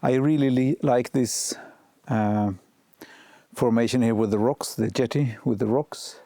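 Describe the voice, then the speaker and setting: A man with a cold and a sore throat, vlogging outdoors at night and thinking aloud with pauses and restarts. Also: medium pitch, mellow, no room sound, studio quality. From Sweden. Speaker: photography vlogger. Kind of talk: casual vlog narration.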